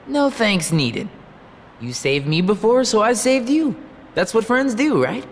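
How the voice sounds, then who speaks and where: A man's voice: high voice, sing-song, unhurried, slight background noise, heavily acted. An actor playing a friendly, earnest teenage boy hero in a video game, speaking casually and sincerely. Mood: warm, sincere. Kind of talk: animated video game dialogue.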